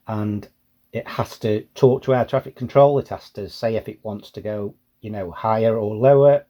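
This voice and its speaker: A man's voice: medium pitch, dark tone. A British man giving a casual, plain-spoken explanation as a hobbyist presenter.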